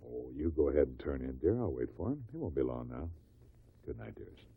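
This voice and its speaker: An actor playing an affectionate, wryly amused judge and husband in a 1950s radio drama. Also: male voice, a bass voice, big pitch swings, a little fast, vocal fry, breathy edge, full character voice. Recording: muffled, noisy old 1950 radio recording.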